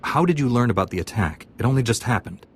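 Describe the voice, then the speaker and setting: An actor playing a confident, earnest young warrior apprentice in a video game, assured and direct. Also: male voice, medium pitch, animated, fast talker, husky. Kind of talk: video game dialogue acting.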